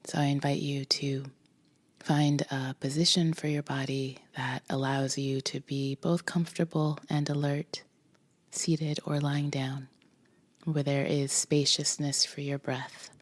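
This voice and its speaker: Slow, low-voiced woman guiding meditation. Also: little pitch movement, no echo. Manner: slow pauses between short phrases, gentle instruction. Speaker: meditation guide. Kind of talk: guided meditation. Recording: music behind the voice. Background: music.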